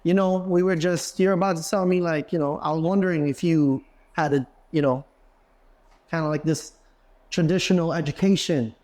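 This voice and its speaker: A male podcast host with a voice pitched a little high, asking meandering questions in a relaxed, friendly way. He keeps the conversation going with a stream of encouraging yeahs and okays. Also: normal speed. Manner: encouraging, frequent affirmations, loose rambling questions. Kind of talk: casual interview questions.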